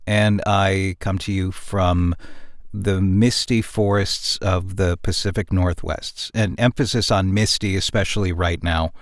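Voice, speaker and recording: A man from the United States co-hosting a podcast, chatting casually in a wry, unhurried manner. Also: low voice, steady tone, dry recording, studio quality. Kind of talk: casual chat.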